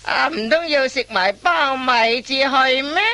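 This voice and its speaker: A woman playing a wily veteran master thief in a comic radio play, instructing in drawn-out phrases full of interjections. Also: high voice, bright, forceful tone, heavily acted. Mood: coaxing, lecturing.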